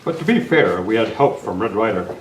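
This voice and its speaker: A man's voice: on the low side, very animated, fairly quick. A man playing a heroic, upright masked cowboy in an old-time radio western, with an earnest, formal way of talking. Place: indoor club meeting, performed live at microphones. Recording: echoey, noisy club meeting room, distant mic.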